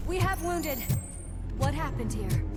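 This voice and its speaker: A woman's voice: sits high, sing-song, a little slow, over loud music. A woman playing a loyal, concerned police officer in a video game, talking in an informal, caring way. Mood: alert, urgent.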